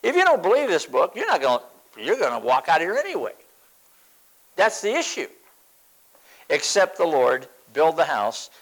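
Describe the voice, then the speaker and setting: Older man in his seventies, preacher, sermon delivery, lively swooping pitch, slightly high voice, emphatic and repetitive. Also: low-quality recording. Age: 70s. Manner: emphatic, repeats phrases for stress.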